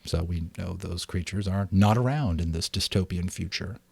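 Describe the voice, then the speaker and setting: A man's voice: low-pitched, moderate pace, gravelly, breathy edge, dry recording. A middle-aged man hosting a book reading, chatting casually with his commentary. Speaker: audiobook reader and channel host.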